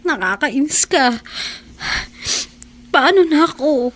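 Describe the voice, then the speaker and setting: Timid, lovestruck Filipino schoolgirl, high-voiced. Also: lively intonation, slow pace. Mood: tearful. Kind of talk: Tagalog radio drama acting.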